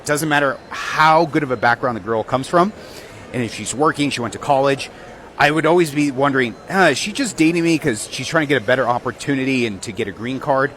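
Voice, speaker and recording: A man's voice: mid-range voice, brisk, fairly bright tone. A Mexican American man giving blunt, frank opinions in a casual vlog, speaking outdoors on a city street.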